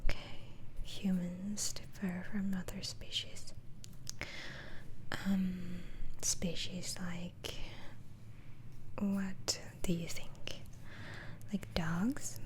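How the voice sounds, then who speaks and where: A woman whispering softly and breathily in an ASMR roleplay as a gentle, reassuring doctor, asking questions in a hesitant way. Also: fairly low pitch, level tone, very slow, long pauses, rough recording. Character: calm, reassuring asylum doctor questioning a patient.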